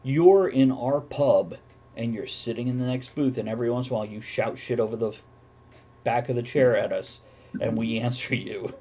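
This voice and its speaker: A man's voice: mid-range voice, moderate pace, mellow, husky. A man hosting a casual chat show, talking bluntly and swearing freely as he banters. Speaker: host of a talk show.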